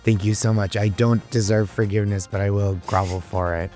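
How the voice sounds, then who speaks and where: Deadpan man with lowish voice. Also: fairly quick, soft background music. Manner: self-deprecating, deadpan joking. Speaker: comedian, panel guest. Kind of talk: casual comedic chat.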